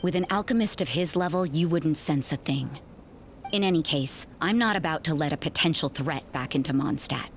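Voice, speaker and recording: A woman playing a wary, sardonic nun investigator in an anime fantasy video game, speaking in a cool, dry, deliberate way. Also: low voice, a little hiss or hum. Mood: stern.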